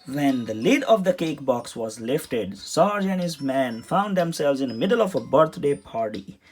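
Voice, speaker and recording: Animated man reading a children's storybook. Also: mid-range voice, a little slow, breathy edge. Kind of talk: read-aloud storybook narration. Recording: music behind the voice.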